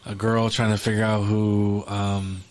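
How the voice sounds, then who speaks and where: A man co-hosting a podcast in relaxed chat, rambling with frequent restarts and fillers. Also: fairly low pitch, unhurried. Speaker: podcast co-host. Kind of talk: casual chat.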